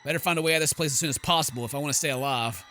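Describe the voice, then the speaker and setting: Bright, high-voiced man doing game commentary. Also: racing. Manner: exclamatory, joking. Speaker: gaming video host. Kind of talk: casual game playthrough commentary, reading game text aloud. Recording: faint game music behind the voice.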